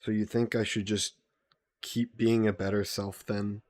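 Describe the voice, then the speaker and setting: A man playing a gentle, guilt-burdened vampire caretaker in an intimate audio roleplay, speaking politely and formally with some hesitation. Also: fairly low pitch, little pitch movement, measured pace, no echo, clean studio sound, close to an everyday voice. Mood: regretful, reflective. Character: gentle, guilt-burdened male vampire employer caring for a guest.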